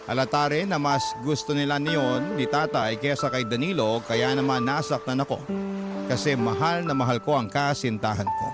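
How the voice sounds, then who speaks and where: A man narrates a listener's letter in a heartfelt reading voice of middle pitch. Loud music plays behind him throughout. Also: moderate pace.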